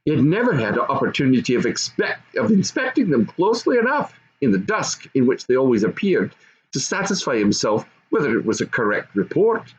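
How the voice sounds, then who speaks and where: A man's voice: mid-range voice, sing-song, a little fast, lo-fi sound. An older man reading a children's storybook aloud as narrator. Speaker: storybook reader.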